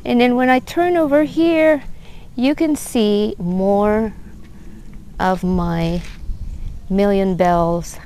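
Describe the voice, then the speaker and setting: A woman gives an unhurried, admiring tour of her backyard garden, filming on a handheld phone outdoors. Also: medium pitch, dark tone, a little hiss or hum. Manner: unhurried, admiring, many fillers. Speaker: gardener vlogger. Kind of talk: casual garden tour narration.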